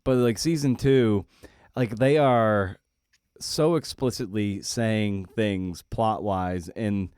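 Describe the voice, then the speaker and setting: A man hosting a casual interview, speaking in a halting way and repeating words as he forms his thoughts. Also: fairly low pitch, a little mellow, smooth, no room sound, studio quality. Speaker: podcast host, interviewer.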